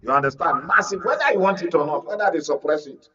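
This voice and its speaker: A man's voice: on the high side, lively intonation, fast talker, a crowd in the background. A Nigerian man giving political commentary as a studio guest, speaking emphatically with rhetorical repetition. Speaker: media analyst, studio guest. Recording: dull lo-fi audio, distant mic.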